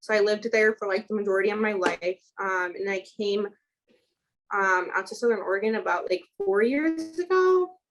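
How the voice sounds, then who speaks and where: A woman's voice: mid-range voice, normal speed, rough recording. Chatty young woman from Chicago. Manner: chatty, many "like" fillers. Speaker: student midwife, guest. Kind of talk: casual interview chat.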